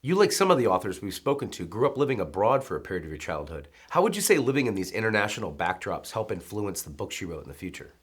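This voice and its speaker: A male interviewer with a husky, low voice who asks prepared questions briskly. His delivery is lively and varied in pitch.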